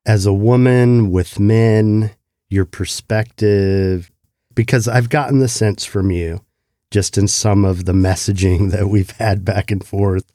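A man hosting an interview, asking calm, thoughtful questions. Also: lowish voice, slow pace, no room sound, clean studio sound. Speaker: podcast host.

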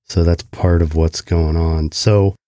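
A man hosting an interview, asking calm, thoughtful questions. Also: sits low, slow pace, clean tone, no room sound, clean studio sound. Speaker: podcast host.

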